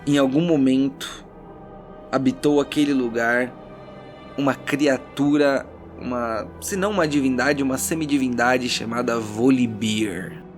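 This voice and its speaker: A Brazilian man running a tabletop role-playing game as narrator, mixing theatrical, suspenseful storytelling with casual banter. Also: medium pitch. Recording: loud background music under the voice.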